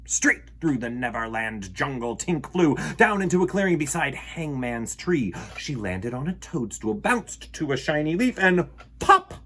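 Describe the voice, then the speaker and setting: A man telling a children's story in an animated, theatrical way, acting out the sound words. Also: medium pitch, unhurried.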